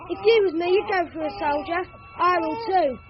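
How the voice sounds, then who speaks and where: A woman's voice: very high voice, moderate pace. A woman playing a put-upon working-class boy with a whining, indignant manner in a radio drama. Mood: whining indignation. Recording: dull lo-fi radio sound, music behind.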